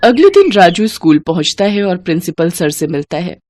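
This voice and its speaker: A woman's voice: lowish voice, big pitch swings, moderate pace, darkish tone. Woman narrating a moral tale. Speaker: storyteller. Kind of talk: scripted children's devotional story narration.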